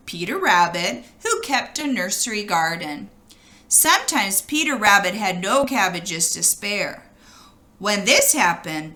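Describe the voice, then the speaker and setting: A woman reads a children's storybook aloud with lots of expression. Her pitch moves freely up and down in an animated way, and her tone is bright. She addresses the story to young listeners. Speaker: storybook reader.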